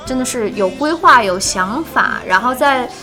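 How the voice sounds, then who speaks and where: A woman hosting a casual live stream, chatty and expressive, often repeating words for emphasis. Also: a little high, moderate pace, music in the background. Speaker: live-stream host for an audio drama.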